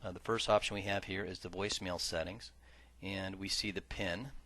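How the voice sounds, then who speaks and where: A man working as a software trainer, narrating an instructional screen-share tutorial in a measured, explanatory way that stresses key words. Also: sits low, fairly even intonation. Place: voice-over for a screen recording.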